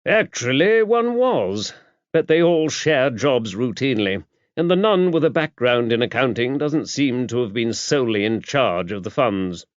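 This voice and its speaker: A man's voice: mid-range voice, sing-song, a little slow, no room sound. A man voicing an earnest, talkative English bishop in an audiobook, formal and emphatic. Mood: explanatory, animated. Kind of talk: audiobook character dialogue. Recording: dull, muffled lo-fi audio.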